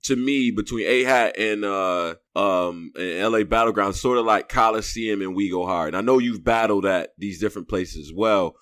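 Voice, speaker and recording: A man's voice: lowish voice, moderate pace, few pauses, fairly bright tone, no room sound, studio quality. A man hosting an interview, asking long, rambling questions in a loose, slangy way. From born in Houston, raised in Rochester, New York. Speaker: interview host. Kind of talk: casual interview chat.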